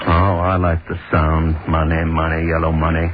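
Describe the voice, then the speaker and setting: A man's voice: rumbling low, monotone, normal speed, faint music behind. An actor playing an obsessive, cruel hangman who gloats and broods over his work, in a 1930s radio drama monologue. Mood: gloating. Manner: gloating, brooding, lingers on words. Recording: scratchy tinny 1930s radio broadcast.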